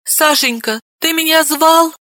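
A man's voice: extremely high pitch, measured pace, bright, forceful tone, no echo, studio quality, pitched above the performer's own voice, heavily acted. A man doing a timid, apologetic wife. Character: timid, frightened middle-aged wife, apologetic.

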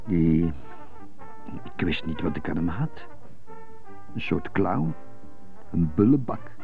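An actor in a radio drama playing an anxious, stuttering jazz trumpeter who thinks aloud in a husky, halting, introspective way. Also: male voice, deep voice, very animated, soft background music, heavily acted. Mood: anxious, brooding. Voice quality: husky, hushed, gravelly. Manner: halting, introspective inner monologue. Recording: muffled, narrow phone-like radio recording.

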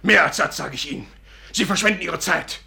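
An actor playing an embittered, agitated inventor confined in a sanatorium, speaking accusingly in a 1950s radio drama. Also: male voice, fairly high pitch, brisk, gravelly, sharp tone, heavily acted. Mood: angry, fed up. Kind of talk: 1950s German radio play acting.

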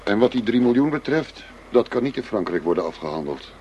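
A man's voice: on the low side, sing-song, brisk, a little mellow, lo-fi sound. An actor playing a shrewd undercover policeman posing as a tough dealer, firm and businesslike, in a radio drama. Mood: hard, businesslike. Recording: noisy radio play recording with ambience.

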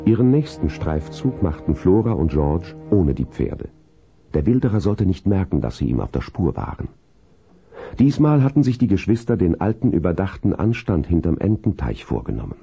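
A man narrating a seventies German radio play as a calm, kindly storyteller in measured, scripted prose. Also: sits low, slightly rough, soft background music, exaggerated voice. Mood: measured storytelling.

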